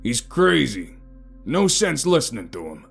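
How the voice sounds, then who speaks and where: A man voicing a large, cross small-town local in an audio story, gruff, stern and dismissive. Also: fairly low pitch, lively intonation, a little slow, soft background music, voice pushed up.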